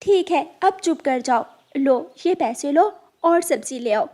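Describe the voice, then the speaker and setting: A woman's voice: high-pitched, animated, a little slow, a little mellow. A woman voicing a stern, protective mother bird in a cartoon, scolding and instructing. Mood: resigned instructing.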